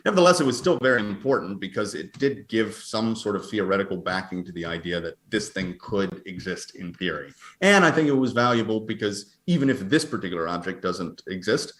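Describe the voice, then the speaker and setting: A man answering interview questions conversationally as an astronomer, in a hesitant, halting, hedging manner. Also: on the low side, expressive. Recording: roomy, echoing sound.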